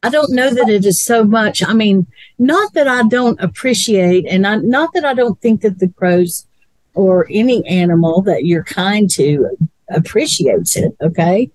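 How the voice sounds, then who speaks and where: Musing woman, slow and dry. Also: mid-range voice, animated, a little mellow, no room sound, poor audio. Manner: musing, repeats words while thinking. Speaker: co-host. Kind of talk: casual chat.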